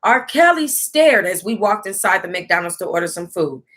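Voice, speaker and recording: An American woman hosting an online book club, reading aloud casually in an animated, salty-tongued way and breaking off to react. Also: mid-range voice, normal speed. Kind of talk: casual livestream read-aloud with running reactions.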